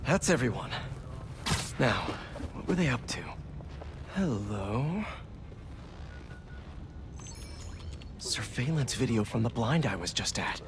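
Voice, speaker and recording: A man's voice: medium pitch, very animated, a little slow, pauses often, noisy background. A man acting a quippy, friendly young superhero in a video game, with an American accent and a chatty manner. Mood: musing. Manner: chatty, wisecracking. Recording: loud game music behind the voice.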